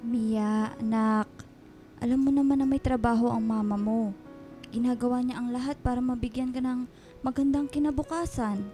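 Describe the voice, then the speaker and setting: A woman playing a gentle, caring older nanny in a radio drama, soothing and patient. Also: on the high side, steady tone, moderate pace, soft background music, natural voice. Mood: worried, tender. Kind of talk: Filipino radio drama acting.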